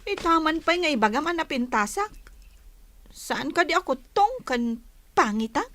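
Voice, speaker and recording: High-voiced, lively young village woman. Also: moderate pace, no room sound. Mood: guarded, teasing. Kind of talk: radio drama acting.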